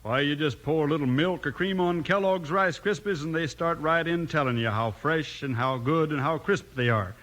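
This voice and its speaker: A man's voice: on the high side, moderate pace, few pauses, fairly bright tone. A man working as a co-announcer on a 1950s children's radio show, explaining and selling in a folksy way. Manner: folksy, explanatory, dropped syllables.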